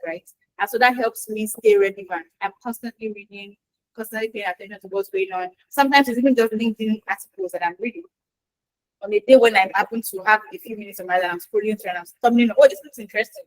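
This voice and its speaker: A woman, a Yoruba speaker, answering interview questions in a lively, chatty way with acted-out quotes. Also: a little high, no echo, poor audio. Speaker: guest expert in quality assurance.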